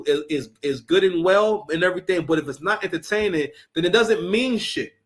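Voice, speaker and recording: Brash high-voiced man ranting. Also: a bit bright. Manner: brash, profane, repeats words when worked up. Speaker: livestream host. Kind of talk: heated commentary stream.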